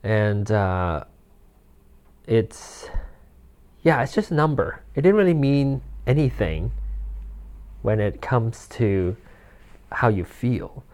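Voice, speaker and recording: A man's voice: lowish voice, very animated, takes it slowly, pauses often, a little mellow. A man of forty hosting a solo podcast in a casual, rambling way, with fillers, restarts and frequent chuckles. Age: 40s. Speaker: podcast host. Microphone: handheld or desk mic with rustle.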